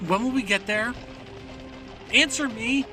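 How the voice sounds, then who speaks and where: High-voiced, anxious traveler. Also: male voice, unhurried, distant mic. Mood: panicked demanding. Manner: nervous, insistent questioning. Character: anxious, questioning male traveler on business. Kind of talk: audio drama acting. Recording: off-mic voice with music behind.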